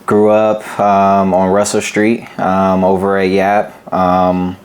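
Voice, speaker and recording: A young man from Berkeley speaks as a podcast guest, telling his story in a relaxed, steady way. His voice is somewhat low and full of small "um" pauses. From Berkeley, California. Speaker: guest, business founder. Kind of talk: casual interview chat.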